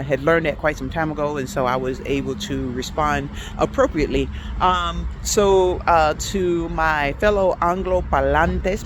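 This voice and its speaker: Chatty woman teacher, faint street noise. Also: low voice. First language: English. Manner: chatty, hesitant with many fillers, switches between languages. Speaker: language teacher. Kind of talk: casual vlog lesson.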